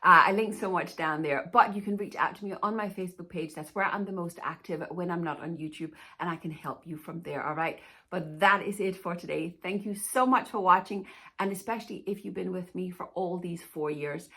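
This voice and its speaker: A woman's voice: on the low side, a little fast, bright, forceful tone, breathy edge. A Danish-born woman, a fashion stylist answering viewer questions in a chatty, reassuring way. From Denmark. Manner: chatty and reassuring, often says "to be honest with you". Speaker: plus-size fashion stylist and YouTuber. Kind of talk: casual Q&A vlog chat.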